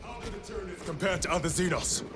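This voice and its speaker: A man playing a dutiful subordinate soldier in a video game, formal and measured. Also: sits high. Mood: questioning, restrained. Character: dutiful armored soldier, subordinate battle-brother. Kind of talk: dramatic video game acting. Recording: loud music over the dialogue.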